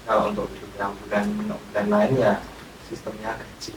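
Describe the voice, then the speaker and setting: A man's voice: lowish voice, completely flat delivery, normal speed. Echoey young man. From Indonesia. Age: 20s. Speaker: podcast guest. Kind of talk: casual interview chat. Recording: echoey, distant, noticeable background noise.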